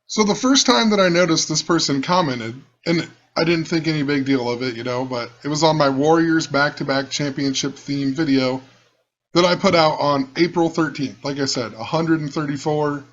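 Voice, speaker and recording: A man with a rough voice, rambling and musing as he talks through his own video channel. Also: fairly high pitch, normal speed, fairly bright tone, dull audio. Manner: musing, rambling, repeats himself. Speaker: channel host. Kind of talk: casual screen-share commentary.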